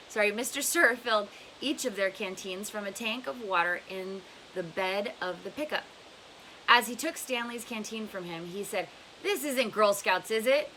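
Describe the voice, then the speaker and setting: A woman's voice: mid-range voice, sharp tone, low-quality recording. A woman narrating a book aloud in a storytelling style. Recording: faint hiss behind voice.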